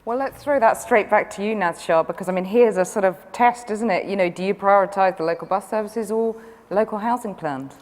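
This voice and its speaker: A woman chairing a panel debate, putting brisk, prodding questions to the speakers. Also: mid-range voice. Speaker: debate moderator. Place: conference hall. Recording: reverberant hall.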